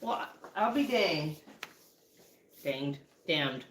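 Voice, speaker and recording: A woman's voice: sits low, lively intonation, extremely slow, fairly bright tone, slight background noise. Woman, 40s, West Texas accent, chatty and affectionate livestream co-host, casual kitchen talk. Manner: chatty, affectionate, reads out viewer names between serving food. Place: home kitchen.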